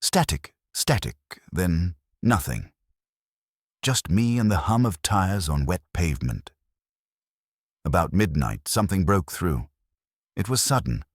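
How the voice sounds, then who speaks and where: Man, extremely deep voice, lively intonation, suspenseful scripted horror storytelling, dramatic delivery. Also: a little slow, long pauses, slightly rough, no echo, clean studio sound. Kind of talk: scripted first-person horror narration.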